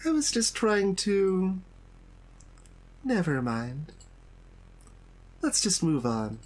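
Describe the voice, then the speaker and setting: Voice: medium pitch, sing-song, drawn-out words, pitched above the performer's own voice, exaggerated voice. A game streamer voicing a polite, earnest teenage schoolgirl in a visual novel, hesitant and apologetic. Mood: flustered, apologetic. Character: polite, earnest teenage schoolgirl, anxious about her poem. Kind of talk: reading visual novel dialogue in character. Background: game music.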